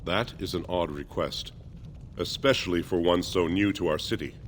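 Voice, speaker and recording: An actor playing a dignified older male dwarf archivist in a fantasy video game, speaking gravely and formally. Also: low-pitched, normal speed, a little hiss or hum, lightly acted. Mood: grave, reluctant. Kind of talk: video game character acting.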